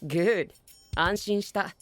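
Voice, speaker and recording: A man playing a calm, supportive young gentleman in an anime voice drama, composed and gentle, dropping in English phrases. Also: high voice, normal speed. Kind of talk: Japanese anime voice drama acting. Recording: music behind the voice.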